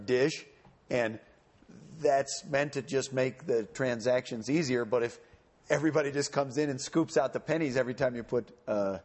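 A man on a panel answering audience questions in a measured, explanatory way. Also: mid-range voice. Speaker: panelist speaking for a campaign.

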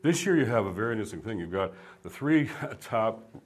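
A man with a fairly low voice talks earnestly, with a preacherly rhythm, building his points in repeated phrases. He speaks as a guest on a discussion panel. Steady noise runs underneath the recording. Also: brisk. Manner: earnest, preacherly cadence, tells jokes. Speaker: guest author.

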